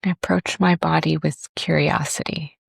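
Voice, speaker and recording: A woman teaching a guided meditation and body scan in a gentle, unhurried manner with long pauses. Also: low voice, fairly even intonation, vocal fry, dry recording.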